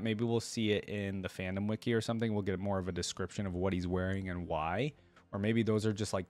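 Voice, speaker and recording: A man giving casual, conversational reaction commentary as a video host, thinking aloud as he goes. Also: lowish voice.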